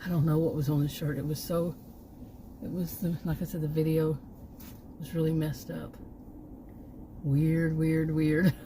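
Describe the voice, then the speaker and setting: A woman's voice: deep voice, little pitch movement, unhurried, pauses often, warm tone, a little hiss or hum, rough recording. A woman from Texas with a Texan accent, talking in a rambling, chatty way full of fillers and self-corrections as a channel host sitting outside near woods. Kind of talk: casual vlog monologue. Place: outdoors near woods and a park.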